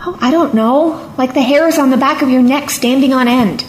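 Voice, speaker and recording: A woman's voice: high voice, normal speed, slight background noise, lightly acted. A woman playing a sceptical, outspoken companion to a time traveller in an audio drama, wry and questioning. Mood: uneasy, insistent. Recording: roomy echo, music behind.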